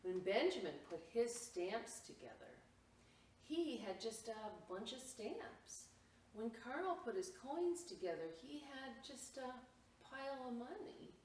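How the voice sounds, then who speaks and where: امرأة تقرأ قصة للأطفال على مهل، بصوت بعيد عن الميكروفون. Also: female voice, fairly high pitch. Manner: expressive, pausing, explains simply to young listeners. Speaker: library storytime reader. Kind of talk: reading a picture book aloud to children, with explanatory asides. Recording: roomy, distant mic, faint noise.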